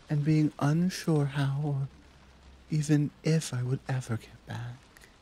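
A woman playing a caring, earnest tigress monk in a soft-spoken ASMR roleplay, with a breathy, measured, confiding delivery. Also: low voice, heavily acted.

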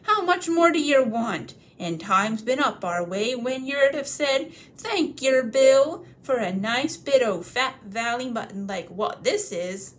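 A woman voicing a coarse male troll, rough and bullying. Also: fairly high pitch, expressive, slow, hardly any pauses, pitched above the performer's own voice. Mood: grumbling.

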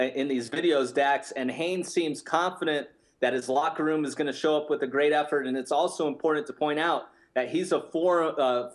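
A man in his thirties giving confident sports betting analysis in a studio, steady and packed with stats. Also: on the high side. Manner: confident, steady, stat-heavy. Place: studio set, indoors.

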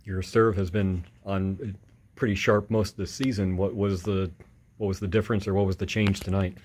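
A man's voice: low voice, steady tone, moderate pace, darkish tone. A man working as a reporter, putting questions at a press conference.

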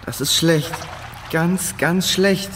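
A man's voice: on the high side, slow, a bit bright, slight background noise. A male actor playing a clever boy adventurer in a children's audio drama. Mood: worried.

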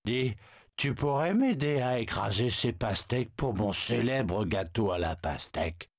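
A man's voice: mid-range voice, lively intonation, measured pace, dry recording. An actor voicing a boastful, lovestruck male suitor who stammers when flustered. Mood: smitten. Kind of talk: video game dubbing.

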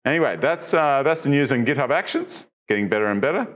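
A man's voice: mid-range voice, lively intonation, quick pace, fairly bright tone. Middle-aged man, tech news presenter, chatty, filler-heavy, studio, lapel mic. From Australia. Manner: chatty, many fillers and restarts, asks viewers questions. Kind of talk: casual tech news walkthrough. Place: studio with branded backdrop.

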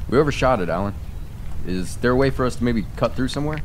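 An actor playing a practical older brother on a road trip in an audio drama, brisk and a little gruff. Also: male voice, medium pitch, expressive, noisy background, close to an everyday voice. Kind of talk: radio horror drama.

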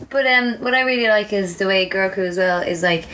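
An Irish woman hosts a casual podcast chat. Her voice sits at an average pitch, and she talks informally and supportively with her guest. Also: brisk, pushed and bright. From Ireland. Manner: supportive, agreeing often. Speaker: podcast host. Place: small indoor room with beanbags. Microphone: desk microphone.

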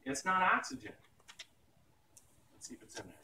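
A man's voice: high voice, wildly swooping pitch, normal speed, pushed and bright. A middle-aged man teaching as a chemistry professor, giving a playful live demonstration to a class in a lecture hall. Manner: projecting to a class, playful showmanship. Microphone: far camera in the audience. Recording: distant, echoey, noisy phone-style room recording.

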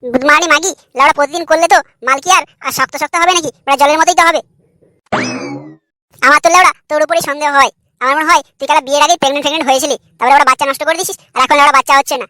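A man's voice: very high-pitched, steady tone, very fast, bright, forceful tone, no room sound. A man voicing a crude, irritable young husband in a comedy cartoon, brash, argumentative and foul-mouthed. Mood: angry.

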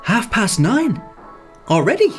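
A sulky slacker who lives for his video games. His pitch moves around a lot as he grumbles defensively. It is a naturalistic audio drama with music behind. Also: huge pitch swings, a little slow, full character voice. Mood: distracted, defensive. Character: sulky, game-obsessed slacker boyfriend.

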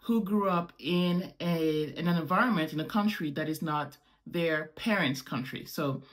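A woman's voice: low voice, normal speed. A woman in her thirties from Morocco, with French as her first language, talking casually to camera at home as a vlogger and gesturing as she explains. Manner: animated, gestures with hands while explaining. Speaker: travel vlogger. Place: small home room indoors.

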